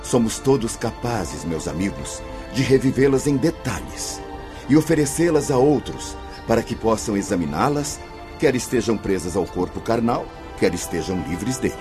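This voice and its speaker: An actor playing a learned, patient spirit mentor in a Brazilian radio drama, lecturing formally and solemnly. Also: male voice, fairly low pitch, big pitch swings, normal speed, music in the background. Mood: solemn, revealing. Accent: Brazilian Portuguese.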